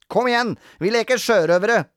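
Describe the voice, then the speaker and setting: Man as naughty young boy, high pitch, cheeky, defiant, storybook figure, dry close sound. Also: sing-song, fairly bright tone, no echo. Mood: eager, defiant. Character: mischievous, defiant young boy eager for pirate games.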